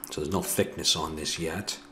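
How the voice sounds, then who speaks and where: Man with an ottawa valley accent, very low voice, muttering to himself, faint hiss behind. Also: fairly even intonation, normal speed, pushed and bright. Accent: Ottawa Valley Canadian. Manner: musing, self-correcting, talks to himself. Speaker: 3D modeling tutor. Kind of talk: thinking aloud while working. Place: home room at a desk.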